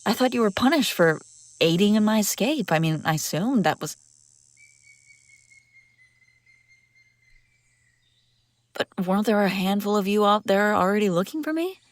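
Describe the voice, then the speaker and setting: A woman's voice: mid-range voice, a little fast, no room sound, natural voice. Woman acting as commanding fugitive princess, close and dry. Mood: stunned, questioning. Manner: dramatic, expressive, speaking straight to the listener. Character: escaped enemy princess, commanding, incredulous, wry. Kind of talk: immersive audio roleplay acting, one side of a dialogue.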